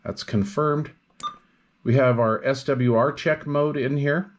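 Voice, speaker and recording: A man's voice: medium pitch, slow, a little hiss or hum. A man reviewing radio equipment in a casual, hands-on demonstration, explaining things step by step without hurry.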